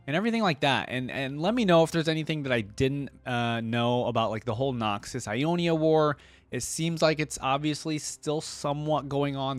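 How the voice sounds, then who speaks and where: A man with a medium-pitched voice gives casual reaction commentary as a video host. He talks informally and with emphasis, addressing viewers directly. The sound is dry, with very little room in it. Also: clean tone, no echo.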